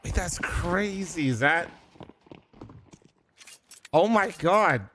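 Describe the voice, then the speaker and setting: A man streaming a watch party, reacting loudly with yelling, swearing and laughter, his voice going hoarse. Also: sits high, expressive, very slow, a little hiss or hum. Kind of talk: excited live reaction chat.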